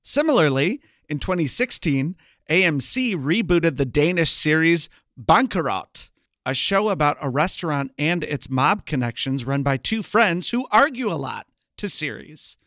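A man's voice: a little high, expressive, unhurried, a bit bright, no room sound, studio quality. A man co-hosting a podcast, chatting casually about television. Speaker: podcast co-host. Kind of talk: casual TV review podcast chat.

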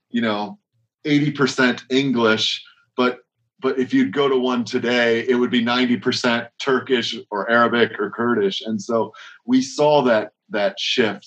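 A man's voice: medium pitch, dull audio. A man who leads worship, talking casually in an interview, rambling with frequent restarts and strings of rhetorical questions. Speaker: worship leader.

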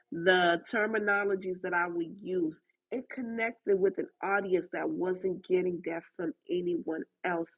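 A woman with a mix of Haitian and Southern US accent talks straight at her listeners in an emphatic, motivational podcast style. Her voice is a little husky and sits at an ordinary pitch. She drives her points home by repeating them. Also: fairly bright tone, muffled recording. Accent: Haitian and Southern US blend. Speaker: podcast host. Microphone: recorded through a voice chat app.

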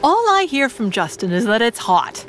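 A woman's voice: fairly high pitch, big pitch swings, moderate pace, a bit bright, slight background noise. An actress playing a polite, proper, determined middle-aged mother in an audio drama, speaking with prim formality. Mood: prim, dry.

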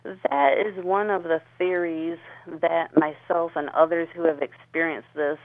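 A woman's voice: on the low side, measured pace, vocal fry. A woman speaking as an interview guest on a radio show, explaining herself earnestly over the telephone. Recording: tinny narrow phone line.

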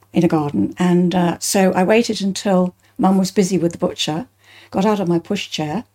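A woman's voice: fairly low pitch, dark tone. An older woman with an English accent, recounting personal memories in conversation as an interview guest. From Bristol, England.

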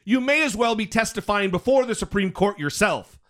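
American man, podcast co-host, high voice, very lively intonation, emphatic, sweary, casual political talk. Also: brisk. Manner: emphatic, repeats words, swears freely.

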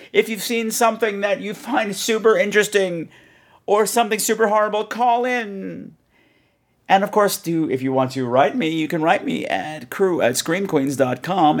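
A man hosting a podcast outro in a theatrical, rambling, excitable way. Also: sits high. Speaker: podcast host.

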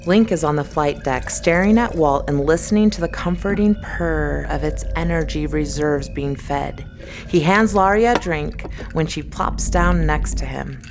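A woman's voice: low voice, measured pace, music in the background. A woman narrating a scripted dramatic story as the narrator of an audio drama.

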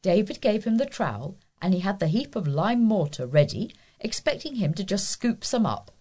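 A woman's voice: mid-range voice, sing-song, moderate pace, dark tone. A woman volunteer reading a local news feature aloud. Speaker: volunteer newspaper reader.

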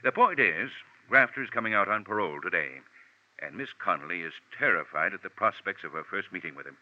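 A man's voice: low voice, expressive, brisk. A stuffy, blustering older office boss in vintage radio drama. His intonation swings widely as he fusses and repeats himself. The recording is old and muffled. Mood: earnest. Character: fussy, pompous older insurance general manager. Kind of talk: 1940s radio drama acting.

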